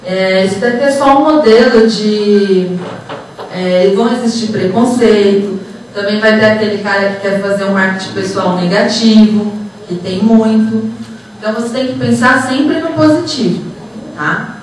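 A woman's voice: medium pitch, moderate pace. A woman gives an informal lecture, conversational and checking in with short tag questions. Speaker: psychologist and HR professional giving a lecture. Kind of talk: informal motivational lecture to students. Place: auditorium, speaking to an audience. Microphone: handheld microphone through room speakers, distant recording. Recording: echoey hall from far off, noisy.

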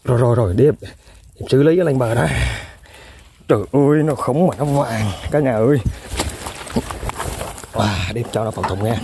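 Excitable young man fishing outdoors. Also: mid-range voice, moderate pace, long pauses, a little hiss or hum. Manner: excitable, exclaiming often. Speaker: fishing vlogger. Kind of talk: excited outdoor commentary to viewers. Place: outdoors in fields.

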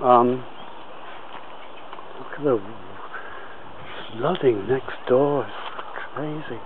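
A man with a medium-pitched voice talks slowly through an informal garden tour, often halting mid-sentence as he goes. He is recorded outdoors on a handheld camera, with dull, lo-fi sound and faint background noise. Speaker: allotment gardener filming a tour. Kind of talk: informal video tour commentary. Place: outdoors on an allotment. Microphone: handheld camera held by the speaker.

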